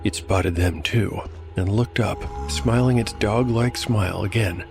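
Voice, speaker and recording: A man narrating from a script as host, in a measured storytelling manner. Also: on the low side, gravelly, over music.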